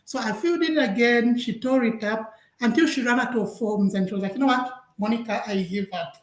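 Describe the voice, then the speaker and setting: A woman's voice: on the high side, a little fast. Woman from Zambia telling her story, echoey. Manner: warm storytelling with frequent laughs. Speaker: guest scientist presenting. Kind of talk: webinar presentation, personal story. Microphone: video call.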